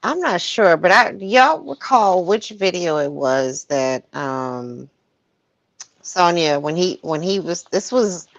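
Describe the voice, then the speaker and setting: A woman's voice: fairly low pitch, lively intonation, slow, no echo. A woman chatting casually as a panelist on a livestream. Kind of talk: casual chat. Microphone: video-call livestream.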